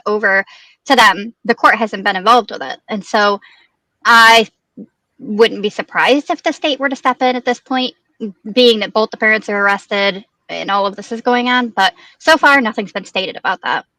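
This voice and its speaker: Bright-voiced woman, close and dry. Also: mid-range voice, no echo, low-quality recording. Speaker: guest commentator on a true crime livestream. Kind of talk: casual discussion of a news case.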